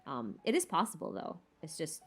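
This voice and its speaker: Low-pitched woman chatting casually on stream. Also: huge pitch swings, moderate pace, breathy edge. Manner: informal, hesitant, thinking aloud with mild swearing. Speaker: livestream host answering viewer questions. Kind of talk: casual livestream Q&A chat about game lore.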